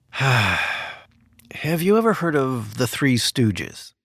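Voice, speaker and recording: Man acting a harried political aide, exasperated, hesitant, animated intonation, medium pitch, audio comedy. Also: very slow, no room sound, clean studio sound, exaggerated voice. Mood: embarrassed, exasperated. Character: flustered, exasperated married male presidential political aide.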